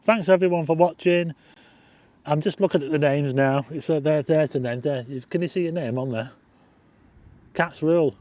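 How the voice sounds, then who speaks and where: A Northern English man hosting a live stargazing stream outdoors at night, chatting casually, reading out viewer names for a quiz, his voice a little croaky. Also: a little high, moderate pace, a little mellow. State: slightly croaky. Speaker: astronomy livestream host.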